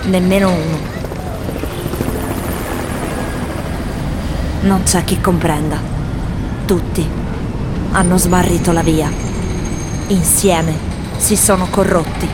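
A woman's voice: lowish voice, fairly even intonation, slow, long pauses, heavy background noise. A woman performing an intense, prophetic tourist who addresses Romans in a theatrical, declamatory radio monologue. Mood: grave. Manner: declamatory, solemn, emphatic. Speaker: stage actor reading tourists' postcards. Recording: loud music and street sounds under voice.

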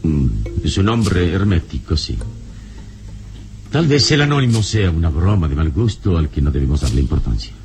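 An actor playing a calm, heroic adventurer in an old radio serial, speaking in a measured, reassuring and authoritative way. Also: male voice, low-pitched, faint music behind. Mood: thoughtful.

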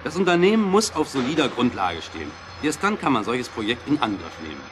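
A man's voice: mid-range voice, very animated, fairly quick, noisy background, natural voice. An actor playing a cautious, pragmatic businessman in a historical audio drama, speaking firmly and persuasively. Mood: reassuring, firm. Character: pragmatic, cautious male business partner, reassuring but firm.

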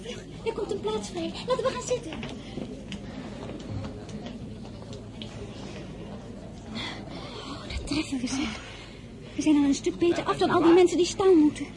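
A woman's voice: extremely high pitch, expressive, fairly quick, long pauses, background noise. An actress playing a headstrong, easily indignant teenage girl who confides her story like a diary in a radio drama. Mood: defiant, eager. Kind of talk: radio drama narration and dialogue.

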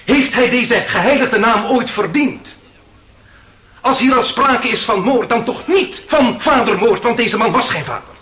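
An actor playing an impassioned defence lawyer making a grand, rhetorical appeal to a jury in a radio play. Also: male voice, very high-pitched, animated, a little slow, sharp tone, heavily acted. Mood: impassioned, reasoning. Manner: rhetorical, declamatory, emphatic. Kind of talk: Dutch radio play acting, courtroom oratory.

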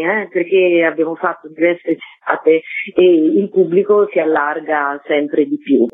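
A woman's voice: fairly low pitch, no echo. Chatty woman on a phone line. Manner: lively, chatty. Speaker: comedy project creator. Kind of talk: radio interview. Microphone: phone call. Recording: tinny phone line, dry.

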